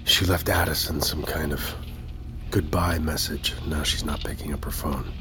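An actor playing an anxious middle-aged father and security chief in a naturalistic audio drama, halting but reassuring. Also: a bass voice, fairly even intonation, noisy background. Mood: tense.

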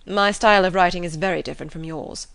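A woman voicing a proud, reserved young gentleman who speaks in measured, lecturing tones. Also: fairly low pitch, vocal fry, no echo. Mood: cool.

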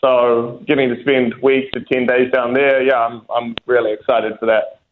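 A man's voice: medium pitch, flat delivery, normal speed. A young man from New Zealand with a New Zealand accent, a golfer answering interview questions casually, with many fillers and restarts. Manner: hesitant, many fillers and restarts, laughs easily. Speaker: professional golfer, guest. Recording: tinny phone line.

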